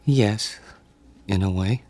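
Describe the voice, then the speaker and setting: Shy, stammering, low-voiced man. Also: takes it slowly, darkish tone. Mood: shy, flustered. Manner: hesitant, stammering, polite. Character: shy, awkward, apologetic loner office man. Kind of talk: radio drama acting.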